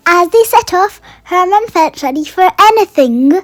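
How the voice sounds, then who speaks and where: A storyteller reading a children's bedtime picture book aloud in an expressive way, made for young listeners. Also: very high voice, normal speed. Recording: music under the voice. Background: music.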